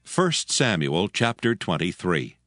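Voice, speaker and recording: Lowish-voiced man narrating scripture. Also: big pitch swings, takes it slowly, fairly bright tone, dry recording, clean studio sound.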